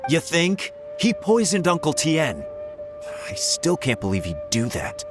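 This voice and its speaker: A man's voice: fairly high pitch, wildly swooping pitch, unhurried, over music. A man playing a confident, hot-headed young official-in-training in a video game, brash and self-assured. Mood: indignant. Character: confident, hot-headed young male civil-service candidate. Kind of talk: scripted video game character dialogue.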